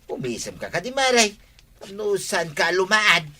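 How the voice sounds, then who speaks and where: Bright-voiced, wheedling Ilocano village man played by an actor. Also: sits high, big pitch swings, moderate pace, a little hiss or hum, full character voice. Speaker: actor in comedy drama. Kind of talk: village comedy drama dialogue.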